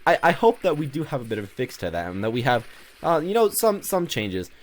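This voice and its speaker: A man's voice: mid-range voice, big pitch swings, brisk. A man giving casual, unscripted gaming commentary as a video host, rambling and often repeating himself. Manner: rambling, repeats himself, peppers talk with "you know".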